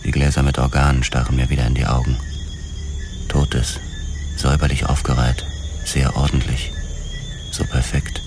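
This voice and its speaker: An actor playing a police detective who narrates a grim crime radio drama in a sombre, deliberate, terse way. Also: male voice, a bass voice, level tone, noisy background. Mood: grim, haunted. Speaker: first-person narrator.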